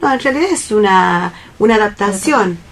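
A woman's voice: mid-range voice, animated, normal speed. A woman with an Argentine accent in a casual radio group chat. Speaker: radio theatre group member.

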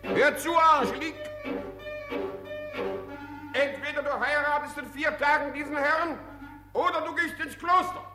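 A man's voice: very high voice, measured pace, pauses often, over music. An actor playing a fussy, self-pitying hypochondriac father and doting husband in a theatrical German radio comedy, by turns blustering and plaintive.

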